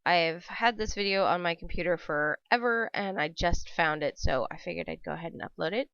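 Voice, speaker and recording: A woman's voice: fairly low pitch, fairly quick, gravelly, a bit bright, no room sound. A woman hosting a casual craft tutorial voice-over, her throat scratchy and dry from a cold, chatty and rambling with many ums and self-corrections. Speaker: papercraft channel host. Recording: dull dry home voice-over.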